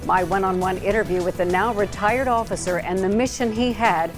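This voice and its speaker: A woman's voice: medium pitch, brisk, music in the background. A woman with an American accent reading scripted broadcast news as an anchor.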